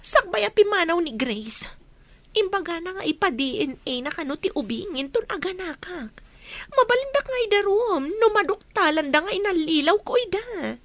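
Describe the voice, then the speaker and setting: Radio drama mistress played by a woman: Filipino accent, extremely high voice, swinging pitch, troubled and plaintive. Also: sing-song, moderate pace, fairly bright tone, full character voice. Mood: anxious brooding.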